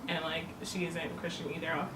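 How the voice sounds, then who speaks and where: A woman's voice: sits low, level tone, normal speed, a crowd in the background. Earnest woman addressing viewers. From born in Jamaica, raised in Toronto, Canada. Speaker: vlogger. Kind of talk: casual couple's chat to camera. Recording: roomy, distant mic with faint noise.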